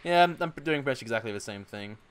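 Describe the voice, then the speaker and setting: A young man hosting a gaming channel, chatting casually while playing a co-op game, playful with loud bursts of laughter. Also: medium pitch, animated, rapid-fire, a little hiss or hum. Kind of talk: casual co-op gameplay chat.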